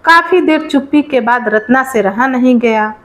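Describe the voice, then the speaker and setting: A woman's voice: a little high, moderate pace, fairly bright tone. A woman reading a scripted moral folk tale aloud as a storyteller.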